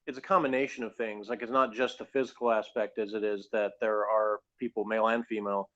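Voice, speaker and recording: A man's voice: on the low side, flat delivery, fast, fairly bright tone. A man hosting a casual review discussion, talking in a conversational, hedging way. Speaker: review show host. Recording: dull, lo-fi audio.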